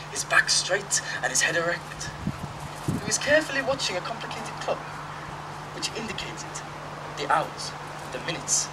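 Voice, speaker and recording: A young man narrating on an outdoor stage in a theatrical, self-important way. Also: high voice. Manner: theatrical, pompous storyteller, easily exasperated. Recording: distant mic, open-air theatre, noisy.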